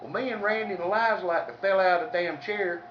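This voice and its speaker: A Southern American man tells funny stories in a colloquial, country manner. His voice sits slightly high. The recording is distant and somewhat dull, with a faint noise under it. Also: fast talker, reverberant. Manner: folksy, colloquial, salty. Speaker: comedic storyteller. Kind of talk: informal humorous storytelling.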